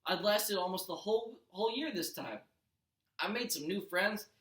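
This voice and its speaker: A man's voice: high-pitched, animated, normal speed, a bit bright. A man, a teacher, narrating a first-person storybook aloud with expression. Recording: roomy echo.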